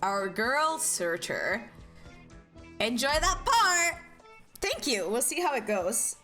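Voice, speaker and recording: Young Norwegian woman streamer, bubbly, very lively pitch, faint game music behind. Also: slow. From Norway. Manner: bubbly, greets chat with high squealed hellos. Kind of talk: casual live stream chat.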